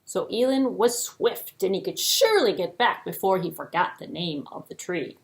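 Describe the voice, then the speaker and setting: A woman storyteller narrating a children's puppet show expressively, with dramatic pauses and playful delivery. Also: lowish voice, expressive, gravelly.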